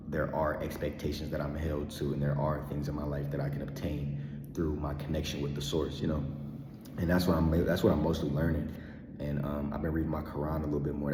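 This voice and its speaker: Young man, very deep and flat voice, relaxed slangy vlog talk, echoey hall with loud background noise. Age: 20s. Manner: laid-back slangy rambling, frequent ums and laughs. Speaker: vlogger. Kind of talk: casual personal vlog monologue.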